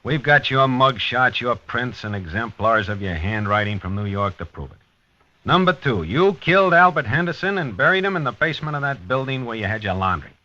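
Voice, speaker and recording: An American actor playing a dogged, weary police lieutenant in a 1950s radio drama, with a clipped, hard-boiled delivery. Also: male voice, medium pitch, animated, a little fast, sharp tone. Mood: blunt, pressing. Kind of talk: 1950s radio drama acting and first-person narration. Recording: dull vintage 1950s radio recording.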